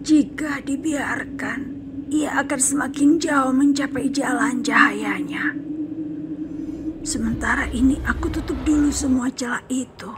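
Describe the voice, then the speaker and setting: A woman voicing a calm, middle-aged psychic medium who speaks in a soft, half-whispered, measured way in a horror cartoon. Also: high-pitched, noisy background. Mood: hushed.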